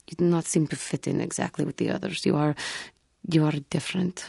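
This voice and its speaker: A low, dark female voice for a feline alien warrior monk in a video game. She is solemn and proud with old wounds underneath, choosing formal words in a guarded, earnest manner. The tone is husky and close. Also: dry recording, exaggerated voice. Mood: vulnerable. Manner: formal, measured, guarded, earnest, avoids contractions. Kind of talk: video game character dialogue.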